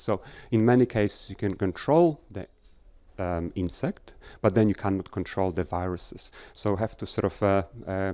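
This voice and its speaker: Middle-aged male researcher, lowish smooth voice, halting public talk with fillers and restarts, dry close sound. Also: darkish tone, dry recording. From Poland. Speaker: agricultural researcher. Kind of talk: talk to an audience. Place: festival tent.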